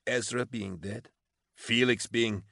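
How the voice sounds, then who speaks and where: A man's voice: fairly low pitch, animated, a little slow, no room sound, clean studio sound, voice pushed up. A man voicing an exhausted, foul-mouthed female military officer for an audiobook, blunt and pugnacious, with a sardonic edge. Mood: weary, dry. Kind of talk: audiobook character acting.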